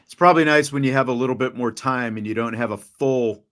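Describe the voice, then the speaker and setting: A man's voice: mid-range voice, fast, a bit bright. A man, a radio announcer, conducting a friendly conversational interview and often restarting his questions.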